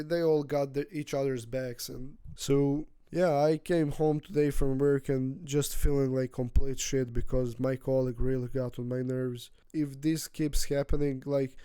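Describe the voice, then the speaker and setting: A man in his twenties, not a native English speaker, talking through his feelings in a rambling, halting personal vlog with frequent restarts. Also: medium pitch, dark tone, smooth, dry recording. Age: 20s. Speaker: vlogger. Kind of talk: casual personal vlog monologue.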